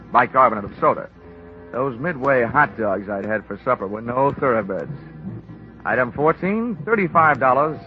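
Wry hard-boiled radio detective, lively pitch. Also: male voice. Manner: sardonic, wisecracking. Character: wry, hard-boiled male insurance investigator narrating his case. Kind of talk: 1940s radio detective acting. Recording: muffled old radio recording, faint music.

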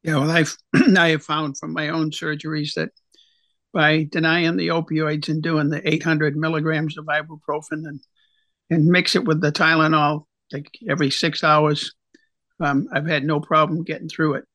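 Man, talk show host, bright sharp tone, flat pitch, dry close sound, casual chat. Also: normal speed, dry recording. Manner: casual, conversational. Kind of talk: radio interview.

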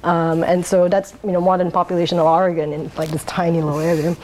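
A woman from Singapore, talking through her life in a rambling oral history interview, laughing often and pausing on um's. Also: lowish voice, flat delivery, a little mellow. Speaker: interviewee, wine bar owner.

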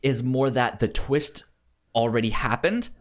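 A man hosting a podcast, chatting casually about a film in a halting, stammering way that often repeats words. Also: mid-range voice. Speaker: podcast host.

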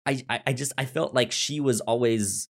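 A man's voice: medium pitch, moderate pace. A man hosting a podcast in a casual review discussion, often stammering and repeating words as he feels his way through a thought. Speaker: podcast host.

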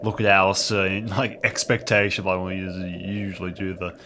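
A man with a fairly low voice takes part in a role-play game. He casually describes his character's actions as he makes them up. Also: flat delivery, brisk, background noise. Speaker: tabletop role-play player.

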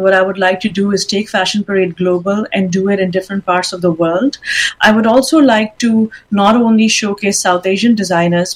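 A middle-aged woman from Pakistan answering interview questions as a guest, speaking in a measured way with frequent ums and restarts. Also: mid-range voice, rough recording. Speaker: guest, fashion event founder.

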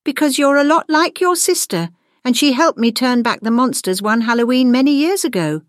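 A woman's voice: high-pitched, expressive, normal speed, no room sound, clean studio sound, voice pushed up. A woman voicing a composed, wry, knowing witch who teaches school, in a storybook. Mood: fond, knowing.

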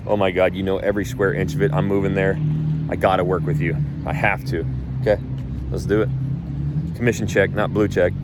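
A man's voice: low-pitched, little pitch movement, fairly quick, very noisy. A man from North Idaho who coaches real estate agents, talking casually in a blunt, emphatic way and swearing freely. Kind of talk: casual talk.

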